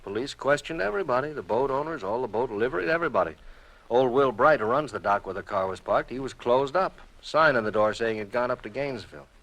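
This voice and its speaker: A genial, easygoing American insurance man in an old radio drama. He talks in a folksy, rambling, hesitant way, with very lively pitch. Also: fast talker, low-quality recording. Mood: reluctant, uneasy. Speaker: radio actor. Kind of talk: golden-age radio drama dialogue.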